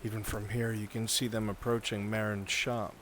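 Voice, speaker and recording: A man's voice: on the low side, flat delivery, moderate pace, fairly bright tone. A man narrating an improvised fantasy roleplay as game master. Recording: faint rain noise behind.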